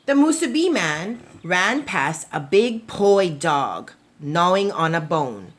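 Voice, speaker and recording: A woman reading a children's picture book aloud as a storytime reader, in an expressive, deliberate storytelling manner. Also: on the low side.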